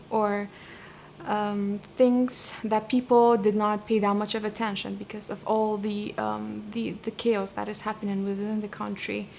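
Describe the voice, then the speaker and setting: A young Kurdish-accented woman speaks in a flat, level tone, weighing each thought with frequent ums and restarts. Her answers stay measured and reflective. A faint background hiss sits under her voice. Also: mid-range voice, creaky. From Iraq, Kurdish region. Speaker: poet and translator.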